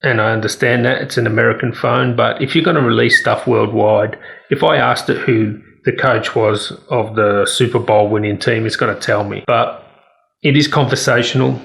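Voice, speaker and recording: A man with noticeable fry in his voice reviews tech in a casual way. He speaks candidly and gives firm opinions at a middling pitch. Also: fairly even intonation, normal speed, a bit bright, poor audio.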